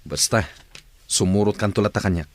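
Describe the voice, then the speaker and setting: A man's voice: lowish voice, brisk, lightly acted. A man playing a scheming street thief who plots robberies with his partner in a radio drama. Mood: shifty, urging. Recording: old noisy radio drama recording.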